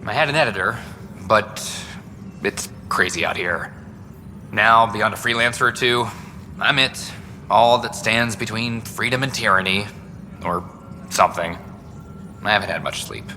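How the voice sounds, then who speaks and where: An actor playing an overworked, earnest young news reporter in a video game, chatty, wry and self-deprecating. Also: male voice, fairly low pitch, unhurried, pauses often, bright, forceful tone. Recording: reverberant hall with background noise.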